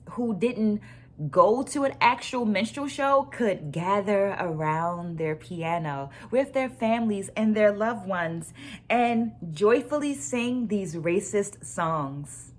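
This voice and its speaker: A woman hosting a book club vlog, sharing her takeaways in a deliberate, emphatic way and repeating phrases to stress them. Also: mid-range voice, expressive. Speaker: host of a virtual book club. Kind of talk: book discussion vlog with takeaways and read quotes.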